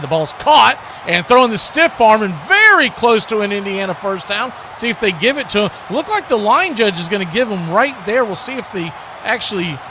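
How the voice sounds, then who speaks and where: A man calling live American football play-by-play in short, clipped phrases on a live stream. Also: high-pitched. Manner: clipped phrases, raises voice on big plays. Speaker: play-by-play commentator. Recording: noisy live stream with background noise.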